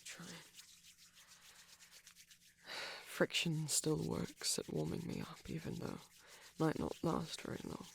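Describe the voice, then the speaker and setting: A man playing a shy, gentle vampire boyfriend in intimate ASMR roleplay, speaking softly and hesitantly, often close to a whisper. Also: a little high, completely flat delivery, normal speed, a little hiss or hum. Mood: shy, tender. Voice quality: soft, breathy, husky, often near a whisper. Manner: hesitant, bashful, rambling tenderly.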